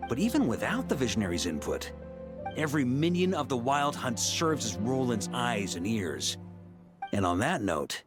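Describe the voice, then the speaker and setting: A man voicing a seasoned, affable but resolute knight leader in a video game, musing and expressive. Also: medium pitch, moderate pace, over music, close to an everyday voice. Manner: expressive, musing, hesitant asides. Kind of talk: English video game dialogue acting.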